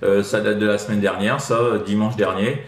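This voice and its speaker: A man's voice: lowish voice, steady tone, moderate pace, roomy sound. A man chatting casually as a radio operator, hesitant and repetitive, often tagging his phrases with small filler words.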